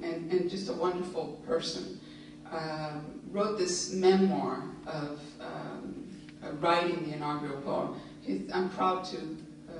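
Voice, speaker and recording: A middle-aged woman giving a reflective public talk, filling pauses with um and uh between readings. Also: low voice. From Cuban family in Miami. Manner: reflective, many um and uh fillers. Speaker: author giving a public lecture. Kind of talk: lecture with poetry readings. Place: lecture hall. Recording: echoey hall, voice from far off, background noise.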